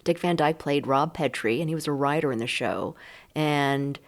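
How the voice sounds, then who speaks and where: A woman's voice: sits low, normal speed. A middle-aged or older American woman co-hosting a nostalgic chat show in an informal, conversational way. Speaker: podcast co-host.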